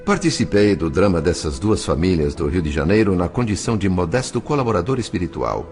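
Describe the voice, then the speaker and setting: Man acting as an earnest brazilian spirit storyteller, low voice with wide swells, solemn audiobook drama. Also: animated, a little mellow. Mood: reverent. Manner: solemn, measured delivery with drawn-out phrase endings. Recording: studio narration with music underneath. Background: music.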